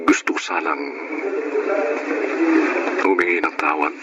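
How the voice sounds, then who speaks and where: A man's voice: a bass voice, fairly even intonation, unhurried. A man playing a jealous, insecure, hot-headed jobless husband in a Filipino radio drama, suspicious and confrontational. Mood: subdued, sorry. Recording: noisy radio drama track.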